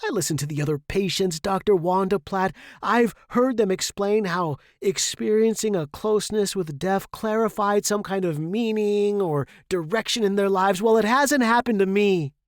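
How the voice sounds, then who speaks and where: A man's voice: sits high, moderate pace, few pauses, no echo, clean studio sound, voice pushed up, natural voice. A man playing a battered saxophone star in a dramatic story reading, intense and anguished, a bitter, frightened but defiant patient. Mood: agitated, defiant.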